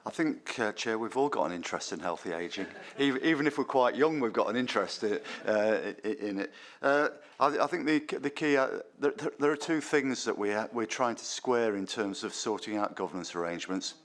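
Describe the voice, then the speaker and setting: A man at a committee meeting who talks in a halting way and stumbles over his words. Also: lowish voice, expressive, a bit bright.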